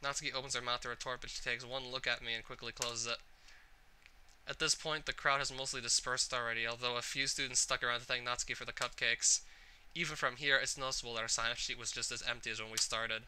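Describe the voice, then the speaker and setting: A game player reading a visual novel's narration aloud in an expressive storytelling style. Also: mid-range voice, quick pace, smooth.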